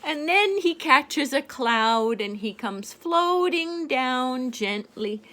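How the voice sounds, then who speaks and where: An older woman reading a picture book aloud to a child in a gentle, playful way, pointing out the pictures as she goes. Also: sits high, expressive, slow pace. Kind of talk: storybook read-aloud to a child.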